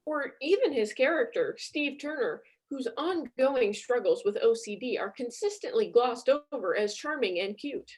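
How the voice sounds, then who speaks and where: A woman with a husky voice narrating a scripted comedy in a mock-grandiose way. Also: fairly high pitch, animated, moderate pace, hardly any pauses, poor audio.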